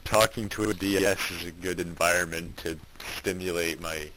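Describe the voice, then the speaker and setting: A man's voice: sits low, steady tone, moderate pace, sharp tone. A man calling in to a podcast, dry and self-deprecating in casual banter. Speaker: podcast co-host on a call. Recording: noisy glitchy internet call.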